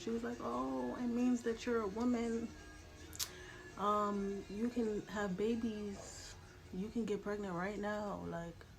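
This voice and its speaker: A woman's voice: lowish voice, low-quality recording. A woman in her late twenties with a stuffy, congested nose, telling her own story in a candid, chatty vlog, swearing freely and checking in with the viewer. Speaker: vlogger. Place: home room with a TV playing.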